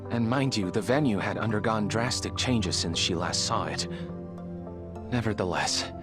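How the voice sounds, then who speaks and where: Guy acting as low voiced medical examiner in a game cutscene, very proper and guarded, music under it. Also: natural voice. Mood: composed, formal. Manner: formal, measured diction, falters when pressed. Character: male medical examiner, formal, guarded, evasive. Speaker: voice actor in a video game cutscene. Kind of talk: dramatic game dialogue. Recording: music behind the voice.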